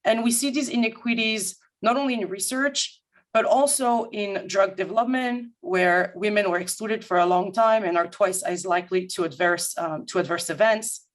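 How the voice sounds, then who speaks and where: Woman, French accent, mid-range voice, measured and articulate, formal presentation, guest speaker. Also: mid-range voice. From France, grew up in Paris. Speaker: guest speaker, management consultant. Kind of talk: presentation to an audience. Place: remote video-call talk.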